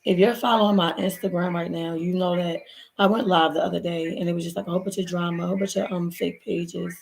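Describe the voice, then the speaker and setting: Hoarse gravelly woman chatting to camera. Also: lowish voice, fairly even intonation, fast, a little mellow, rough recording. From Baltimore, USA. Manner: chatty, rambling, addresses viewers as y'all. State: hoarse, lost voice. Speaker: vlogger. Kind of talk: casual story time while doing nails. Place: at home. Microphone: laptop built-in mic.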